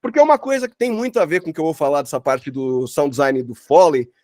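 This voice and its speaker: A man's voice: a little high, lively intonation, fast talker, darkish tone, dry recording, clean studio sound. A man teaching an online workshop in an informal, chatty way full of slang and filler tags. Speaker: workshop instructor, sound designer. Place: online video call.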